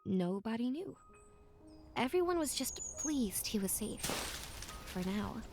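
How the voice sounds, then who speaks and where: A woman's voice: a little high, very animated, unhurried, a little mellow. A woman narrating a scripted first-person story as a young woman recalling her journey away from home. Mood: reflective. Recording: music under the voice. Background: game music.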